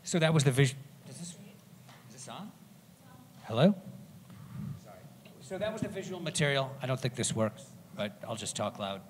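A man's voice: mid-range voice, expressive, normal speed, pauses often. A man moderating a panel conversation, starting hesitantly with fillers. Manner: hesitant, filler-heavy opening. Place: auditorium stage with audience. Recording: reverberant hall with faint hum.

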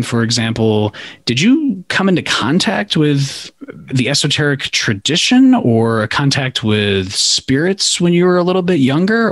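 A man's voice: medium pitch, a bit bright, no echo, studio quality. A man hosting a podcast, introducing his guest and asking playful, enthusiastic questions. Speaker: podcast host.

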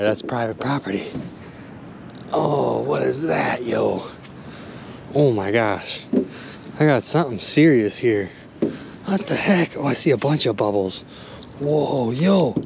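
Animated man narrating from a boat. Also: medium pitch, noisy background. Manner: animated, exclaiming, chatty with viewers. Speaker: magnet fishing vlogger. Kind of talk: excited casual narration to viewers. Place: on a small boat on a river, outdoors.

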